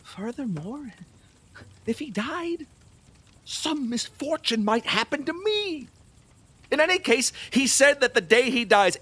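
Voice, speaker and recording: Wheedling, superstitious king for a stage-style audio play: high, wildly swooping delivery, boastful, lustful and unsteady. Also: bright, forceful tone, husky, no echo, heavily acted. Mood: fearful, agitated. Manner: pleading, boastful, erratic, with nervous laughs and halting repeats. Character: lustful, fearful, superstitious middle-aged male king, wheedling and volatile. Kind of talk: theatrical audio play acting.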